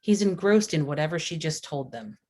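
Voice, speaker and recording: A woman with a deep-set voice narrates a screenplay. She reads scene headings and action lines as the stage-directions reader at a table read. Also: expressive, moderate pace, a little mellow.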